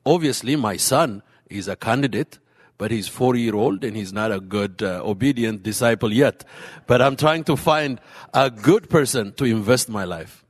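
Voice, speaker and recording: Earnest halting pastor in a big hall. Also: male voice, medium pitch. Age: late 30s. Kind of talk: panel discussion answer. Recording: hall reverb.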